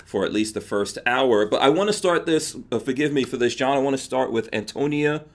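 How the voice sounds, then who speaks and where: A man's voice: medium pitch, a little fast. Man, New York accent, podcast host, casual livestream chat, laughs a lot. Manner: laughs often, loose and joking.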